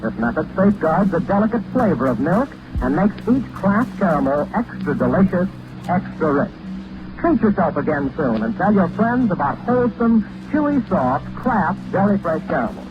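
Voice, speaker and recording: A man's voice: sits high, very animated, normal speed. A man announcing in polished, salesmanlike 1940s radio commercial style. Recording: dull old radio recording with music.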